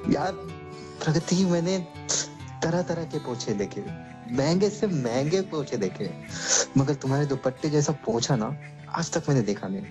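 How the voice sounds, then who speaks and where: A man's voice: fairly high pitch, lively intonation, normal speed, natural voice. A man acting a playful young blind man in a radio play, joking with his fiancée and then speaking earnestly, over guitar music. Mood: teasing. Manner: joking banter, turning earnest. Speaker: lead actor in a radio play.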